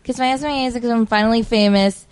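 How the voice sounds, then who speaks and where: A woman's voice: on the high side, steady tone, racing, clean tone. A woman co-hosting a podcast in casual chat, talking in a slangy, profane way. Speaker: podcast co-host.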